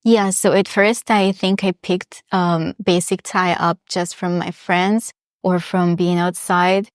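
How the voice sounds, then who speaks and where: A woman's voice: on the low side, flat delivery, takes it slowly, no room sound, studio quality. A young woman from Russia, chatting reflectively as an interview guest. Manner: reflective, chatty, many restarts and small laughs. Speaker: guest, polyglot. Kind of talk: casual interview chat.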